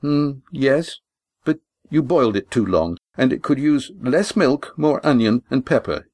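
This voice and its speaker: A man voicing a fiery, sharp-tongued young woman with shrill, indignant outbursts. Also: expressive, measured pace, no echo. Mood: prickly.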